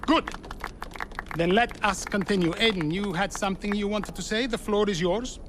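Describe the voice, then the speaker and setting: A man's voice: high voice, normal speed, background noise, close to an everyday voice. A man playing a self-assured racing team owner, giving a formal, commanding speech to staff.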